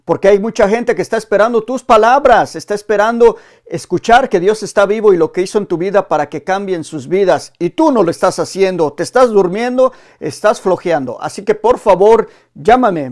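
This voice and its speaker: High-voiced man hosting with urgent appeals. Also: animated, moderate pace, darkish tone. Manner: urgent, exhorting, direct address to viewers. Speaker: host of a testimony channel. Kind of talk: religious phone-in testimony interview.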